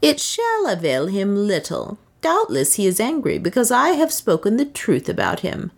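A woman's voice: mid-range voice, very animated, slow, creaky, pitched above the performer's own voice, heavily acted. A woman voicing a proud, bitter serving woman in an audiobook, with solemn, archaic, declamatory phrasing. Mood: mocking.

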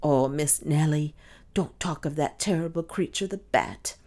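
A woman's voice: low-pitched, measured pace, warm tone. A woman voicing a superstitious, gloomy, stubborn old Irish maidservant with a dramatic, insistent delivery in an audiobook. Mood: fearful, gloomy. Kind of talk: audiobook character dialogue.

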